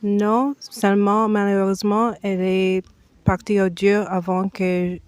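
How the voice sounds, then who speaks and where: Woman talking slow on a tv interview, lots of pauses and restarts. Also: mid-range voice, flat delivery, clean tone, no room sound. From United States. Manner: slow, halting, with frequent hesitations and restarts. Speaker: foundation president, guest. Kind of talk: TV interview answers.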